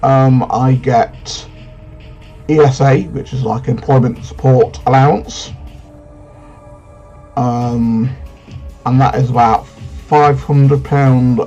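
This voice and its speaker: Guy, late 30s, mellow frank delivery, lots of ums, dull lo-fi sound with faint music. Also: medium pitch, steady tone, slow, long pauses. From England. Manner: frank, hesitant, many ums. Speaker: vlogger. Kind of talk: casual Q&A vlog.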